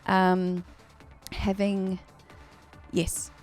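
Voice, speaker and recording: A woman's voice: mid-range voice, monotone, drawn-out words, a little mellow, faint music behind. A woman from New Zealand with a New Zealand accent tinged Australian, chatting casually on a live stream, laughing often and using many fillers. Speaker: singer hosting a live stream.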